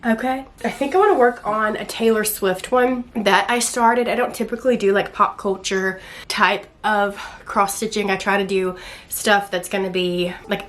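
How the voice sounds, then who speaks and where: A woman's voice: mid-range voice, fairly bright tone. A young woman with a Southern American accent, chatting casually and ramblingly in slangy language for a vlog at home. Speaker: book and craft vlogger.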